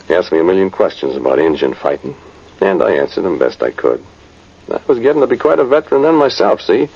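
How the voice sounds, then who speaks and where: A man's voice: low voice, big pitch swings, quick pace, creaky, breathy edge, exaggerated voice. An American man playing a folksy, plain-spoken old cavalry sergeant telling his tale in a vintage radio drama. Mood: reminiscing. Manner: rustic, rambling, plain-spoken old soldier. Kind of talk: 1950s radio drama narration. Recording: muffled noisy vintage radio recording.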